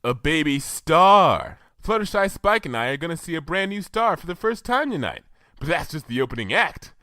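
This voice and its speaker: An earnest, bookish unicorn mare, as a man puts her on, raised above his own voice and lively in her rises and falls. She explains everything in a precise, lecturing way, in a bright, dry sound. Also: on the high side, normal speed, no room sound, voice pushed up. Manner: precise, lecturing, enthusiastic about science.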